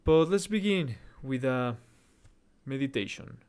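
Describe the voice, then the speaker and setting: A man's voice: mid-range voice, animated, measured pace, a little mellow, clean tone. A man with a Mexican accent hosting a conversational video essay, talking in an earnest, reflective way with self-deprecating humor and stopping now and then to correct his own wording. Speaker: channel host.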